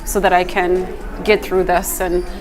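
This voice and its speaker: A woman's voice: lowish voice, flat delivery, unhurried, a crowd in the background. A middle-aged woman answering interview questions as a teacher and coach, speaking earnestly and reflectively in a conversational way. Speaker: interviewee, high school teacher and track head coach.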